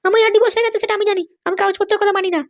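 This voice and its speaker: A woman's voice: very high-pitched, flat delivery, racing. A woman voicing an indignant, exasperated young wife in a comic cartoon, complaining shrilly.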